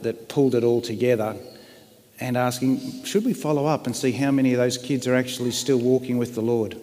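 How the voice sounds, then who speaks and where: A man preaching in an earnest, plain-spoken way at a middle pitch. His voice rings out with a long reverb, as if he stands in a large church hall. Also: a little mellow. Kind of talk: sermon.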